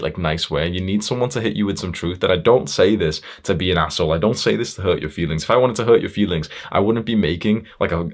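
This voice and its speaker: Casting: young male self-improvement coach, very low voice, blunt tough-love monologue with casual swearing. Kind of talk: motivational monologue to viewers.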